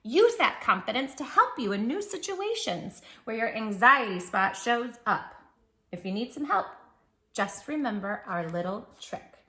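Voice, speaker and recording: A woman host reading a picture book aloud to young children in an expressive, encouraging way, speaking straight to the listener. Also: a little high, unhurried.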